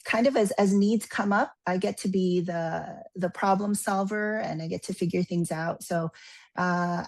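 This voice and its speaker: Gravelly-voiced woman pastor, low-pitched. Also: darkish tone. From Philippines. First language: Tagalog. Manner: thoughtful, many fillers and restarts. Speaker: executive pastor, guest. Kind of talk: casual interview answers.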